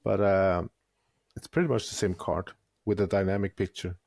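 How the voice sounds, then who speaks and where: A man's voice: low-pitched, steady tone, moderate pace, creaky, a little mellow, no room sound, studio quality. A man co-hosting a gaming podcast who talks hesitantly and searches for words while giving critical opinions. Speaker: podcast co-host. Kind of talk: casual gaming podcast chat.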